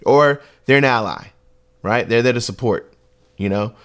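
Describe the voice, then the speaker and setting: Young male co-host, easygoing chatter, mid-pitched, lots of asides. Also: moderate pace, clear, resonant tone. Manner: loose, conversational, frequent "you know what I mean". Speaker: podcast co-host. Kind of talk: casual podcast discussion.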